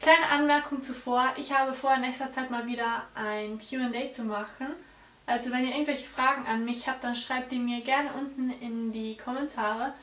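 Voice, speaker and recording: A woman's voice: high voice, fairly even intonation, moderate pace, fairly bright tone. A teenage woman from South Tyrol, a native German speaker with a South Tyrolean accent, chatting casually to her viewers as a vlogger. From South Tyrol, Italy. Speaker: vlogger, exchange student. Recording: roomy echo, distant mic, background music.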